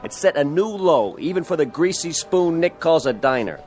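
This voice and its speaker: An actor playing a cocky, teasing, flirtatious office braggart in a radio drama, brash and jokey. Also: male voice, fairly high pitch, animated, normal speed, a little mellow, soft background music, close to an everyday voice. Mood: boastful.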